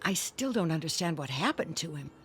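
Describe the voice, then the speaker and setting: A woman's voice: deep voice, lively intonation, brisk, faint music behind. An actress playing an anxious, clinging mother of grown children in a radio drama, fretful, wounded and pleading. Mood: wary, defensive.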